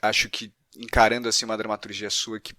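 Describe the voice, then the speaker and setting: A man's voice: fairly low pitch, monotone, fast talker, sharp tone, no echo, studio quality. A Brazilian man interviewing as a podcast host in a conversational style, feeling his way through questions and rephrasing them.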